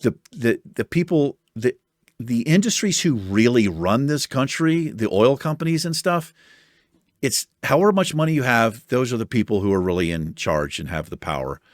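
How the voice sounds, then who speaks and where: Chatty, animated American man. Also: mid-range voice, normal speed, dry recording, clean studio sound. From United States. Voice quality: soothing voice. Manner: animated, chatty, swears casually, laughs often. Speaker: YouTube host reading viewer comments. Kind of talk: casual chat.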